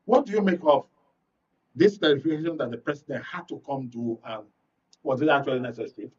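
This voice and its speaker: A man's voice: medium pitch, a little fast, slightly rough. A man with a Nigerian accent hosting and moderating a live political talk show. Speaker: host. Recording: muffled, distant-mic broadcast audio.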